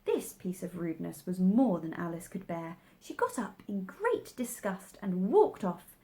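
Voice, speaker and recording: Animated woman reading a storybook. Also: fairly low pitch, unhurried. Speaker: storybook reader. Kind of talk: scripted story narration.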